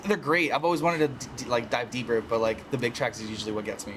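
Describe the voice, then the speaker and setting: A man's voice: mid-range voice, steady tone, fast talker, noisy background. A man in his thirties, a band member chatting casually, joking and swearing. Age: 30s. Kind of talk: casual chat.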